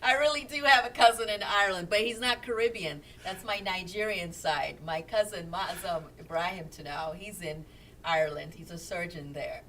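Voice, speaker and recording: A woman's voice: on the low side, moderate pace, a bit bright, slight background noise. Chatty woman on a distant webcam mic. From England. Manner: chatty, laughs often, reads viewer comments aloud. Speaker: co-host of a couple's live chat. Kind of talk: casual live-stream chat. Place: small room at home, indoors.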